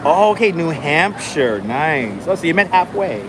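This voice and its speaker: Calm-voiced man live streaming on a noisy street. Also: on the high side, normal speed. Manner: calm, enunciated, chatty with viewers. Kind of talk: casual live stream chat. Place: outdoors on a city street waiting in line. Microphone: phone held for a live stream. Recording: noisy outdoor phone stream. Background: city traffic and street noise.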